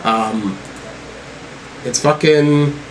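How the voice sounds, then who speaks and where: A man giving casual, off-the-cuff music commentary as a reviewer, in a halting, informal way with some swearing. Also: mid-range voice, noisy background.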